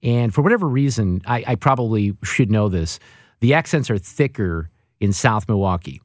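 Male radio host, animated teasing storyteller, very expressive pitch, dry booth mic. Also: moderate pace, no echo. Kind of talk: casual on-air chat.

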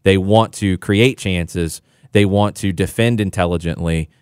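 A man's voice: low-pitched, measured pace, darkish tone, clear, resonant tone, dry recording, clean studio sound. A man analyzing soccer as a guest on a radio sports talk show, explaining tactics confidently.

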